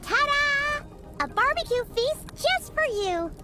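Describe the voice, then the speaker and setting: A woman's voice: extremely high pitch, big pitch swings, slow, sharp tone, exaggerated voice. A woman voicing a tiny, chirpy female fairy-like companion in a video game, bouncy and sing-song as she talks about herself by name. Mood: cheerful, excited. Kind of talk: video game cutscene voice acting. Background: game music.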